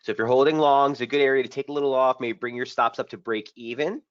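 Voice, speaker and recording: A man hosting a live trading webinar, talking through charts in a brisk, casual way with many fillers, a bit hoarse from feeling under the weather. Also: medium pitch, fairly even intonation, no room sound. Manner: brisk, casual trader talk with frequent fillers. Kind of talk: live market analysis webinar. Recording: dull, dry lo-fi stream audio.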